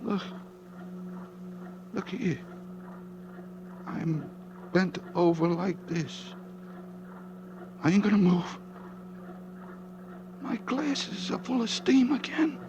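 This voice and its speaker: Over a noisy vintage radio recording comes a squeamish, rattled American morgue worker. His voice sits fairly high and moves widely in pitch. He talks in halting, colloquial bursts, in 1940s radio drama style. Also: lively intonation, dull audio, heavily acted. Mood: terrified.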